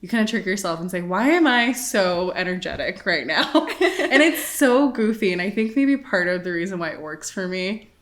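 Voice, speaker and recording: A woman's voice: mid-range voice, expressive, normal speed, hardly any pauses, fairly bright tone. Giggly young woman from the Philippines. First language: Tagalog. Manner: giggly, bubbly, lots of fillers. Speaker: guest, cooking show host. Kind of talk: casual interview chat.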